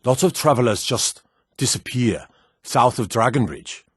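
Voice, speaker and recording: An actor playing a gruff, proud Nord guard in a fantasy video game, blunt and curt with folksy rustic phrasing. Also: male voice, medium pitch, animated, a little slow, no echo, clean studio sound. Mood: blunt, matter-of-fact.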